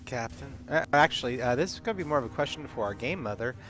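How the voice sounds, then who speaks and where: A man with an average-pitched voice talks casually as a player in a roleplaying game. His delivery is conversational and a little hesitant, with quiet music in the background. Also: lively intonation, fast.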